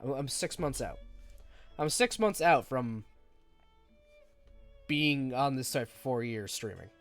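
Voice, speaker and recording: Stuffy-nosed streamer guy, lively pitch. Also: slow pace, soft background music. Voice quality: self-described monotone voice. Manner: rambling, self-deprecating, trails off quietly. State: stuffy nose and lingering cough. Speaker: game streamer. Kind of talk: casual stream chat.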